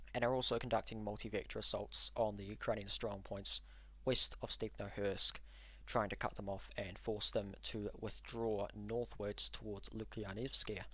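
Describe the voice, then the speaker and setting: A man hosting a live stream, walking through frontline maps and answering chat in an analytical, measured way with frequent ums and uhs. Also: fairly low pitch, a bit bright, no echo. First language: English. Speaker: livestream host and war mapper.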